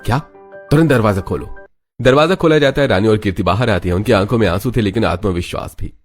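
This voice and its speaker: A man's voice: fairly low pitch, fast. A man narrating a scripted dramatic family story as a storyteller. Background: background music.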